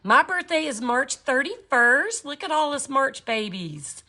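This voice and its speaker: A woman's voice: sits high, very animated, unhurried, pushed and bright. A cheerful woman, teaching glass art on a livestream and chatting with viewers. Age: 50s.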